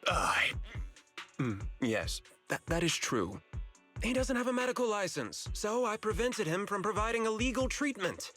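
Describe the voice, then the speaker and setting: A man's voice: high-pitched, very animated, normal speed, sharp tone, faint music behind, heavily acted. An actor playing a suspicious, self-justifying businessman in a video game, speaking formally and emphatically. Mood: guarded suspicion. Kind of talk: video game story dialogue acting.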